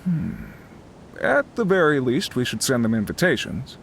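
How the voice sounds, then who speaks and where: A very expressive courteous retainer. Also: male voice, medium pitch, moderate pace, vocal fry, slight background noise.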